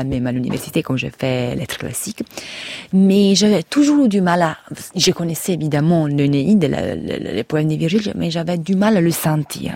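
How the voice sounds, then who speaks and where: A woman's voice: low voice, warm tone, no echo. A woman from Italy speaking with an Italian accent, answering interview questions as an author, thoughtful and stopping to search for the right words. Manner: reflective, searches for words with restarts. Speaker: author guest.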